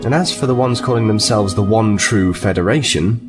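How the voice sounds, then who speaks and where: An actor playing a seasoned Starfleet officer in an audio drama, composed and matter-of-fact. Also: male voice, fairly low pitch, a little fast, music in the background, natural voice.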